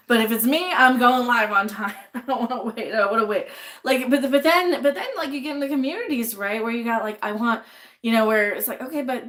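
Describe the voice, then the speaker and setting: A young adult woman chats on a livestream as she cooks, her voice a touch higher than most. She talks bluntly, swears freely and rambles through restarts, and she sounds a little sniffly. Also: a bit bright. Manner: reads chat names aloud and answers them. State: a bit sniffly. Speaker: livestream host. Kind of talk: casual livestream chat while cooking.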